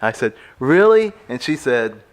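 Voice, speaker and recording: A man's voice: medium pitch, sing-song, a little slow, heavy background noise. A man telling casual stories in an interview, playful and mock-dramatic in his delivery.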